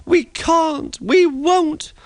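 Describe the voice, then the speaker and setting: A man voicing cheeky, troublesome old railway trucks in a children's storybook, in a shrill, wailing manner. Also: very slow, no room sound, heavily acted. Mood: wailing protest.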